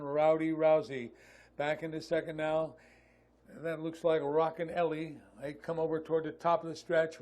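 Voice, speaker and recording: Higher-pitched, even-toned male race announcer. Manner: clipped running call of positions. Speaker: harness racing announcer. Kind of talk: live race commentary.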